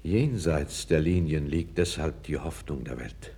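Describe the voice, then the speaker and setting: An actor playing a calm, candid foreign court councillor, speaking with measured, deferential gravity in a 1960s radio play. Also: male voice, very deep voice, exaggerated voice. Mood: earnest, urging. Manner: measured, grave, deferential yet frank.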